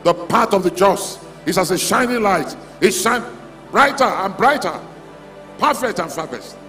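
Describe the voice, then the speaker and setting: A male preacher with a fairly high voice delivers charismatic exhortations in short, repetitive declarations. His words ring through a large reverberant hall, with music playing underneath. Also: lively intonation, unhurried, bright, forceful tone. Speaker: preacher leading a convention. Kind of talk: charismatic prayer-and-declaration sermon. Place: large hall at a church convention.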